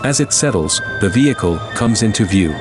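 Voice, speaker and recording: A man reads scripted narration for an audio drama. His voice is on the low side, with music clearly playing behind him. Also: lively intonation, brisk.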